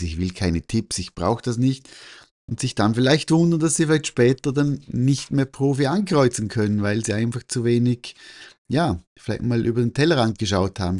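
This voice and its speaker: A man with an Austrian-Swiss German accent talking informally and presenting survey results, halting and correcting himself as he thinks aloud. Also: medium pitch, a little mellow. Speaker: photography teacher and newsletter host.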